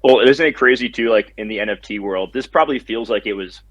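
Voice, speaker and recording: A man's voice: on the low side, quick pace, fairly bright tone, no echo, dull audio. A man co-hosting a casual interview podcast, reflecting on the guest's story and affirming him. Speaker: podcast co-host.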